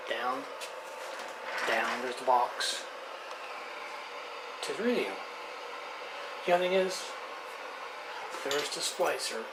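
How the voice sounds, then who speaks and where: A person talking casually and informally while showing hands-on work, rambling and trailing off mid-thought, with a medium-pitched voice. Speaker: hobbyist showing a radio repair. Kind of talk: casual hands-on video narration. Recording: clearly noisy amateur handheld recording.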